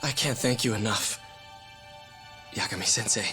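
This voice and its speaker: An actor playing a soft, grateful man awaiting release from prison in a video game drama. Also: on the low side, normal speed, over music, close to an everyday voice.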